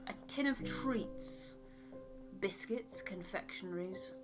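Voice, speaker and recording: A woman voicing a composed, pragmatic ship's captain in a game, speaking in a measured, firm way. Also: mid-range voice, over music, natural voice. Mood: firm, reasoning. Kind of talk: voiced game dialogue.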